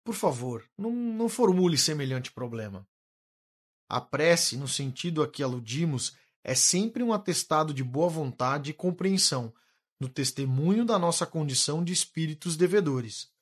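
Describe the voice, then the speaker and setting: An actor playing a calm, wise male spirit instructor who speaks in a measured, gently authoritative way. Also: on the high side, husky. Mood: patient teaching. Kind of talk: radio drama acting.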